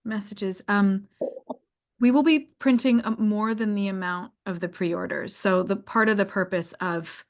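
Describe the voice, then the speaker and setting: A woman's voice: mid-range voice, fairly even intonation. Thoughtful woman feeling her way through words. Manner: thoughtful, halting, searching for words. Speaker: co-creator hosting a live audience call. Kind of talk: casual reflective conversation, answering audience questions. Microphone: online live call.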